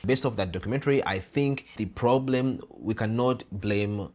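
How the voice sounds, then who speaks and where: Earnest man, low voice. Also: moderate pace, a little mellow. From Uganda. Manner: earnest, emphatic, repeats phrases. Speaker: host. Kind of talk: casual interview chat.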